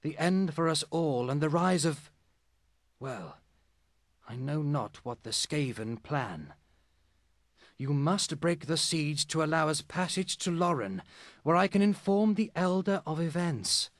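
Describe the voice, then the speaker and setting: A proud scholarly elf in a fantasy game, formal n earnest, slightly high voice, very dry recording. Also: male voice, lively intonation, no echo. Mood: grave warning. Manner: formal, measured storytelling. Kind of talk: fantasy video game cutscene acting.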